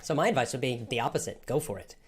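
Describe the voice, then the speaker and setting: A man's voice: mid-range voice, expressive, quick pace. A man who teaches English, answering viewers' questions in an enthusiastic, motivational way. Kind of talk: live Q&A with viewers.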